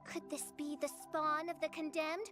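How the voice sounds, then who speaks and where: A woman voicing a grandiose, theatrical teenage girl who styles herself a dark princess, in an anime video game. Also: sits high, level tone, moderate pace, lightly acted. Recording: music playing behind.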